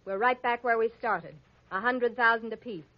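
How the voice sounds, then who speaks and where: A woman's voice: fairly high pitch, normal speed, close to an everyday voice. An actress playing a scheming, manipulative young woman in a 1940s radio drama, with a theatrical, pleading manner. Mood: defiant. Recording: dull old radio broadcast recording.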